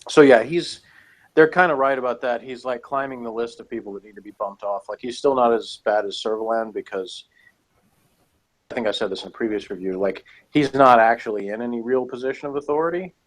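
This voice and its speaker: A man's voice: mid-range voice, fairly quick, rough recording. A man in a casual review chat, rambling and hedging as he argues his points as a co-host. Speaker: podcast co-host reviewing TV episodes.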